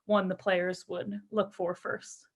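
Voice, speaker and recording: A woman's voice: mid-range voice, flat delivery, slow, breathy edge. A young woman speaks as a footballer in an interview, with a mid-range voice. Her answers are casual and conversational, delivered in an upbeat, earnest manner. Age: young adult. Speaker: professional footballer being interviewed.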